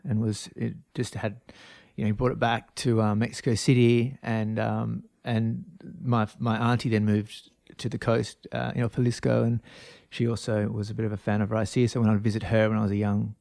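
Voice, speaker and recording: An Australian man, likely in his thirties, talks casually as an interview guest, circling back with fillers and restarts. His voice has a gravelly, creaky edge. The recording is dry and close. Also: lowish voice, warm tone, no echo. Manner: rambling, many fillers and restarts. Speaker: guest, spirits brand founder.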